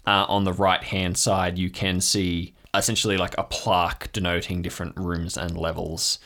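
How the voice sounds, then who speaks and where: Male game master, low-pitched, measured narration for an improvised tabletop audio adventure. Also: level tone, a bit bright.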